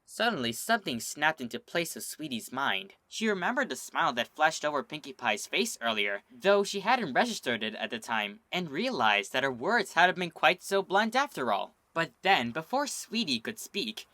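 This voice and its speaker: A man's voice: a little high, very animated, normal speed, few pauses, sharp tone, no room sound. A man reading a fanfiction story aloud as the narrator and channel host.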